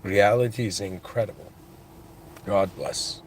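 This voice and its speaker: A man with an accent in English speaks slowly and unhurriedly in a low voice, musing aloud about faith and creation. He talks in halting phrases, restarting his sentences often, and mixes in devout phrases. A faint hiss of background noise sits under his voice. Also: low-quality recording. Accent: non-native English. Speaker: channel host. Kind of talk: reflective spiritual monologue.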